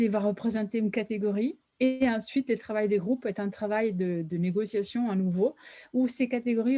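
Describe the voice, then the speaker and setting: Italian-accented woman, mellow and dry. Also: medium pitch, no echo. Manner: measured, theoretical, frequent hesitations. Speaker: webinar co-host and facilitator. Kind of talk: explanatory webinar presentation.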